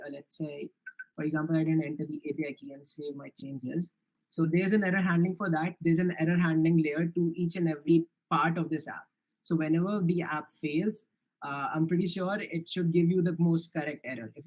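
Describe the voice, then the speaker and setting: A young man with an Indian accent presents his software project in a live online demo, answering questions earnestly with frequent restarts and fillers. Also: on the high side, rough recording. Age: young adult.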